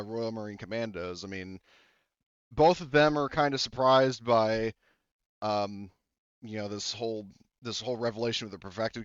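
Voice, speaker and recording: A man's voice: on the low side, clean tone, no echo. A man acting as game master, talking casually with lots of fillers and restarts. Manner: casual, rambling with many fillers. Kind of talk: running a tabletop roleplaying game. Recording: dry, slightly dull lo-fi audio.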